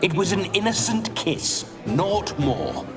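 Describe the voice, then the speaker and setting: A man's voice: sits high, sing-song, slow, full character voice. An actor playing a boastful, lecherous male ghost in a video game, with a swaggering, mocking delivery. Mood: indignant. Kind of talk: video game dramatic acting. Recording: distant voice under loud music.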